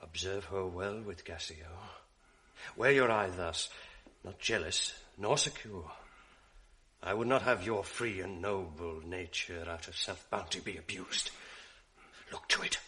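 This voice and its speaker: A manipulative false friend: hushed, confiding classical verse in a radio drama, crisp and pointed. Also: male voice, low voice, a little slow. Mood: insinuating. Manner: hushed, confiding, insinuating, often near a whisper. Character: scheming manipulative male ensign, false friend.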